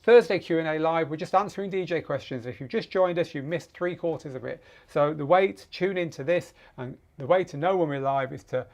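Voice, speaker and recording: A man's voice: fairly high pitch, brisk, hardly any pauses, darkish tone. A man hosting a casual live question-and-answer show, explaining off the cuff in a conversational way and repeating words for emphasis.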